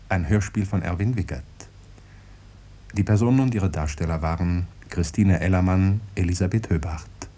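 A man working as a radio announcer in the 1950s, reading credits in a measured, formal manner. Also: low-pitched, mellow.

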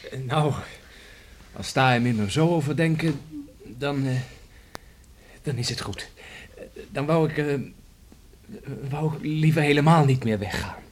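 A man acting an affectionate grown son with a gentle, sometimes hesitant manner in a radio play. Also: a little high, unhurried, lightly acted. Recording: slightly noisy old radio recording.

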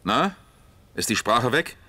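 An actor playing a calm East German police detective in a crime radio play, terse and matter-of-fact. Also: male voice, medium pitch, takes it slowly, no echo, full character voice. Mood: brisk, commanding.

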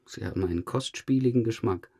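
A male police investigator in a crime audio drama. His voice is low and rough-edged, with a husky grain. He presses his suspect in a matter-of-fact, dryly sardonic way. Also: a little fast, warm tone. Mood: confronting. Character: dogged male police detective questioning a murder suspect. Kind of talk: German crime audio drama, interrogation dialogue.

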